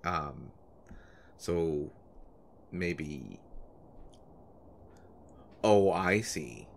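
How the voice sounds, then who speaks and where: A deep-voiced young love story lead: an anxious, devoted boyfriend played by a man in an intimate audio roleplay. He speaks haltingly, stumbling over his words as he tries to reassure his pregnant partner. There is clear noise behind the low voice. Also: animated, heavily acted. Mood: earnest, teasing. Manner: hesitant, stammering, halting. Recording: noticeable background noise.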